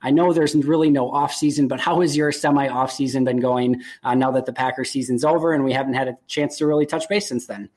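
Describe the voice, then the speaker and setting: A man's voice: medium pitch, steady tone, fast talker, hardly any pauses, darkish tone. Upbeat young man hosting a podcast. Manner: upbeat, eager, says "really" a lot. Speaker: podcast host.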